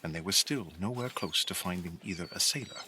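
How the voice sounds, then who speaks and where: A man's voice: low-pitched, a little fast, noisy background. A man narrating a scripted adventure story.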